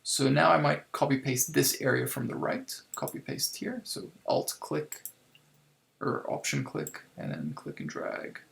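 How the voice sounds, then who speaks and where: A man giving a casual software tutorial step by step, thinking aloud informally as he works, in a creaky, gravelly voice. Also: mid-range voice, measured pace, slight background noise. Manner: informal, improvised, thinking aloud while working. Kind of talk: casual screen-recorded tutorial, explaining step by step.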